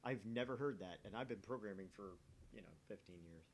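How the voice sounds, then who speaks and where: A man's voice: fairly low pitch, a little fast, a little hiss or hum. A man who hosts gaming videos, reading a story aloud with casual banter in between.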